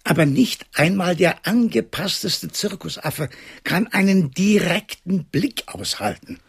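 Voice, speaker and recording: An actor playing a fearful, resigned doctor in his seventies in a radio drama, weary and apologetic, with a husky, creaky voice. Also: high-pitched, lively intonation, unhurried, no echo, exaggerated voice. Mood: awed, intense. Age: 70s. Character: fearful, resigned seventy-year-old male animal doctor.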